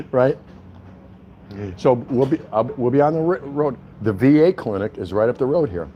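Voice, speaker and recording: A man's voice: mid-range voice, normal speed, muffled recording. A man chatting casually to his viewers in a rambling, folksy, slangy way as he vlogs on a walk. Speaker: vlogger livestreaming a walk. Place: on a walk around a city, stopping at a convenience store. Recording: street and vehicle noise behind. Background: traffic and ambient noise.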